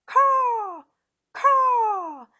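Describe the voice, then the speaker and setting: Giant storybook lizard beast: very high, loud, fierce cawing screeches. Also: female voice, lively intonation, drawn-out words, dull audio, full character voice. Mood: fierce screeching. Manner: shrill cawing cries.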